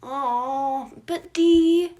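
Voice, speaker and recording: A woman voicing a hyper, pushy, chaotic small boy in a fan fiction story, loud and excitable. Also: sits high, extremely slow, voice pushed up. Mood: grumpy. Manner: loud, eager, interrupting, excitable.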